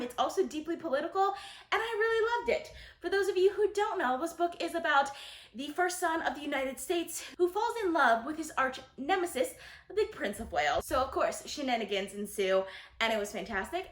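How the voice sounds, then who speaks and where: A woman with a high-pitched voice talks casually to her viewers in a roomy, echoey space. She reviews books in a gushing, enthusiastic way and puts strong emphasis on her opinions. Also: fairly bright tone. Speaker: book reviewer, video host. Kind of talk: casual book-tag vlog talk to viewers. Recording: roomy echo.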